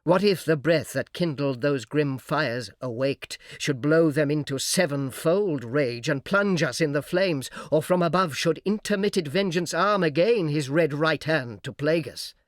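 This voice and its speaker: A male reader performs a fallen angel of epic poetry, a graceful and false-hearted orator. He reasons slowly and persuasively in a fairly high male voice, turning his argument on rhetorical questions. The sound is close and dry. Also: no echo, lightly acted. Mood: urgent, rhetorical. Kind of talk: dramatic epic verse reading.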